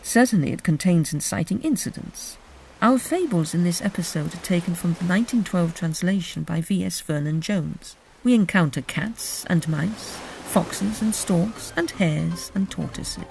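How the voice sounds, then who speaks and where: Lively, creaky-voiced woman narrator. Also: lowish voice, moderate pace, a little hiss or hum, rough recording. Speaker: podcast host and narrator. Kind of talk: scripted storybook narration.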